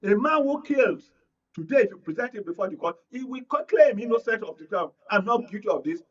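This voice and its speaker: A Nigerian-accented man with a high, raspy voice debates as a panel guest. He explains forcefully and repeats himself for emphasis. The broadcast recording is a little dull. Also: lively intonation, a little fast, recorded from a distance.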